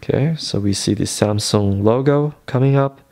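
A man with a fairly low voice presents a tech tutorial one step at a time. His delivery is casual and unhurried, and he explains things in a reassuring way. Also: darkish tone.

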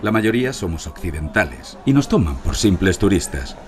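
An actor playing a seasoned undercover archaeologist in an audio drama, measured and dry. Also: male voice, sits low, very animated, over music. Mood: measured, narrating. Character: seasoned male archaeologist narrating his undercover hunt for looters. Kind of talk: Spanish audio drama narration and dialogue.

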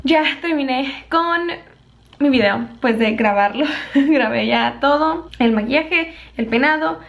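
A hoarse young woman, chatting casually to a handheld camera in her apartment and laughing often. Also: high-pitched, a little slow, slight background noise. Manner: giggly, chatty. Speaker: vlogger. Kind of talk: casual vlog chat. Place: small apartment indoors. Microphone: handheld camera at arm's length.

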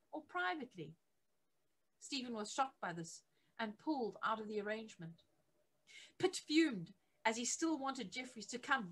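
High-voiced middle-aged woman reading aloud. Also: fairly bright tone, rough recording. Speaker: reader of a church history book. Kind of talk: scripted narration, reading aloud from a biography. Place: attic home office, indoors.

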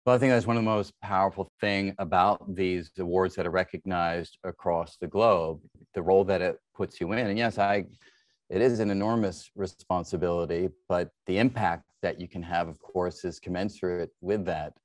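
Low-voiced middle-aged male host, dry sound. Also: fairly even intonation, moderate pace, dry recording. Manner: attentive, with brief interjections and long questions. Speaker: interview host. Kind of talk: interview over video call. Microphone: video call webcam.

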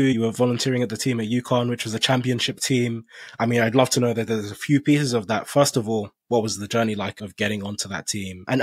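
A man hosting a podcast interview, asking measured and thoughtful questions over a video call. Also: mid-range voice, slightly rough, dry recording. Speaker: podcast host.

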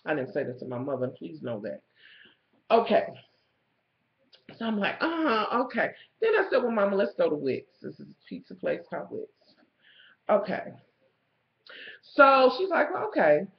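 Raspy young woman vlogger fighting a cold, loud and foul-mouthed, talking straight to viewers over a muffled webcam in a roomy space. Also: mid-range voice, wildly swooping pitch, normal speed, long pauses. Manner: loud, blunt and swearing, talking to viewers directly. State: coming down with a cold. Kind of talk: profane ranting storytelling. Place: small room at home, indoors. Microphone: webcam in front of the face.

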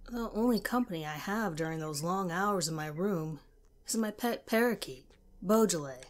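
A woman reading a scripted first-person story as a narrator. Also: lowish voice.

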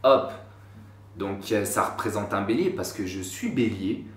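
A man's voice: fairly low pitch, expressive, measured pace. A man in his twenties chatting casually to camera in a slangy, rambling way, with self-mocking asides, recorded indoors in a small room. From France. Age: 20s. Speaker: YouTuber. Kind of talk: casual vlog talk to camera. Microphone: camera a few feet away. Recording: roomy, slightly distant sound.

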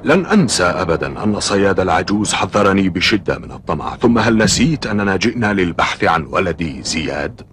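Deep-voiced stern, anxious father. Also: animated, quick pace, hardly any pauses, fairly bright tone, slight background noise. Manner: firm, admonishing, formal diction. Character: stern, anxious middle-aged father searching for his son. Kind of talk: Arabic cartoon dub acting.

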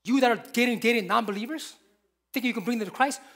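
A man's voice: very high voice, quick pace, a bit bright. A man, preaching a sermon from a church pulpit with emphatic, repeated phrases. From Korea. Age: 50s. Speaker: pastor.

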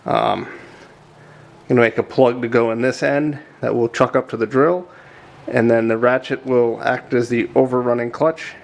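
A man's voice: mid-range voice, steady tone, moderate pace, gravelly. A middle-aged man casually explaining his work in an informal, hesitant, self-deprecating way, recorded in an indoor workshop. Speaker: maker presenting a workshop build. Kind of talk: casual explanation while working. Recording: faint workshop background noise.

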